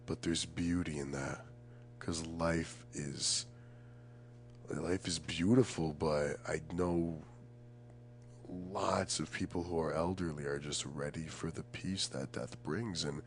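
A man's voice: rumbling low, fairly even intonation, slow pace, a little hiss or hum. A young man chatting casually and reflectively as a podcast guest, earnest and emphatic, often searching for the right words.

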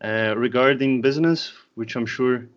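Young Brazilian guest, relaxed and wry, muffled call audio. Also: male voice, mid-range voice, moderate pace. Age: young adult. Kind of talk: casual interview answers.

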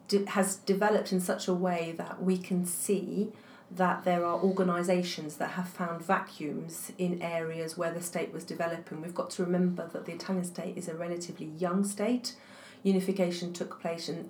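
A woman's voice: on the low side, a little mellow. A woman, a university academic whose first language is French, answering interview questions thoughtfully with many fillers and restarts. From England. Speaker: guest academic. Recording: echoey room, faint noise.

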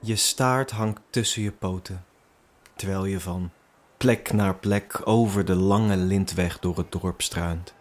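A man's voice: sits low, fairly even intonation, takes it slowly, a little hiss or hum. A man narrating an immersive audio drama in the second person, with a suspenseful storytelling manner. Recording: music behind the voice. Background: music.

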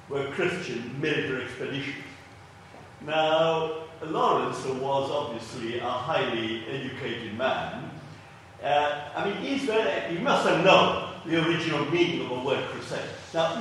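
A man's voice: medium pitch, fairly bright tone, slight background noise. Distant man questioning from a very echoey hall. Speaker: audience member asking a question. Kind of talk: audience question.

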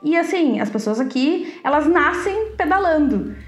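Brazilian woman co-host, slightly high, chatty, laughs a lot, echoey room with faint music. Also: lively intonation, moderate pace. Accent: Brazilian Portuguese. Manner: chatty, laughs often, stretches words. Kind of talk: casual conversational chat.

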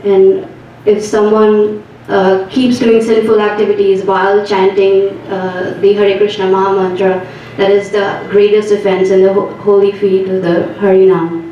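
A woman answering a question in class in her own words, hesitant and full of pauses. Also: medium pitch, flat delivery, dark tone, muffled recording. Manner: hesitant, with many fillers. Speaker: class participant. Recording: echoey hall, distant mic, noisy background.

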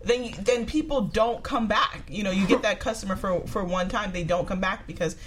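Woman guest with a mid-pitched voice. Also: a little fast, slight background noise. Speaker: guest, popsicle business owner. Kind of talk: casual conversational interview.

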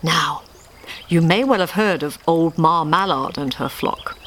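Female children's storyteller, expressive and lively in intonation, live recording with faint background noise. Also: deep voice. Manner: expressive, addresses listeners directly. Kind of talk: scripted children's story narration read to a live audience. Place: theatre stage, live reading.

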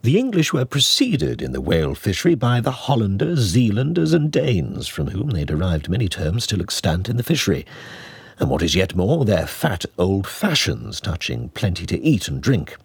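A man reading a classic novel as an audiobook narrator, in a measured, expressive, mock-scholarly manner. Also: fairly low pitch, vocal fry, slightly rough, dry recording. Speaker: audiobook reader.

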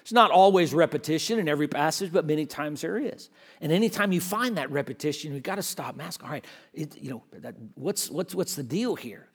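Guest preacher, male, fairly high voice, emphatic, often restarts words. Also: animated, slightly rough. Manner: swings from quiet and slow to loud and fast. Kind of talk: sermon.

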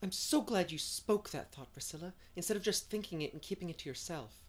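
A woman's voice: lowish voice, expressive, fairly quick, voice pushed up. Woman reading as an exuberant, dreamy young woman. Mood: delighted. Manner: effusive, poetic, emphatic. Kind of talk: audiobook character reading.

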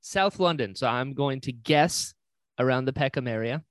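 A man hosting a podcast interview, enthusiastic and playful, agreeing warmly with his guest. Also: medium pitch, normal speed, smooth, no room sound. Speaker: podcast host.

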